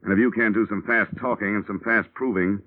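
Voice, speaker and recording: A man's voice: fairly low pitch, fairly even intonation, brisk, lightly acted. An actor playing a wisecracking male insurance investigator in a 1950s radio drama, with a wry, clipped tough-guy delivery. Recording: tinny old radio broadcast.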